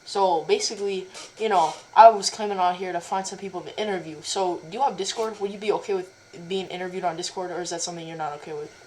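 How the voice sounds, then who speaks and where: A woman's voice: on the low side, fairly even intonation, fast talker, hardly any pauses, a little mellow, a little hiss or hum, rough recording. A woman interviewing a stranger on a casual video chat, rambling and stumbling with many likes and restarts. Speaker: interviewer, cosplayer.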